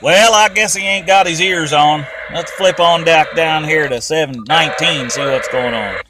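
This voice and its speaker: A man's voice: on the high side, normal speed, crowd noise. An American man with a folksy, rambling, colloquial way of talking, giving casual hands-on commentary as he does DIY car repairs.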